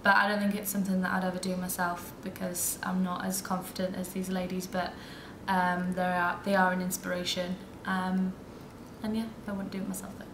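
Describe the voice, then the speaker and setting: Hesitant flat-toned woman in noisy venue. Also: fairly low pitch, a little fast, echoey room. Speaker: audience member. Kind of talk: casual vox pop.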